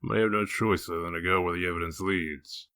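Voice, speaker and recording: A man playing a gruff, humorless wolf-man detective in a comic dub, curt, stern and deadpan. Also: low voice, quick pace, no echo. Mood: brooding. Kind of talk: comic dub character acting.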